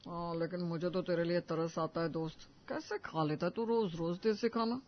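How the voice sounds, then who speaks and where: A man voicing a teasing, bored friend in an animated story. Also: on the high side, moderate pace, highs cut off, natural voice. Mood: bored mockery. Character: mocking, bored male friend.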